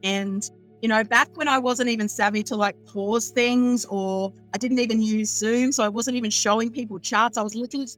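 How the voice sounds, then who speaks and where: A chatty woman with a high, smooth voice and a cackling laugh. She talks in a loose, unfiltered way, like she is chatting with a friend over a remote call. Faint music plays under her. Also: steady tone, moderate pace, fairly bright tone. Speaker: astrologer guest. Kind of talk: casual interview chat.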